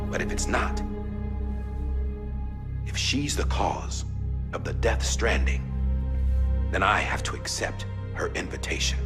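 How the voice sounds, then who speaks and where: A haunted, middle-aged American war veteran confesses gravely in a video game drama. He speaks slowly and low, halting as he goes, with loud music underneath. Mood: grim resolve.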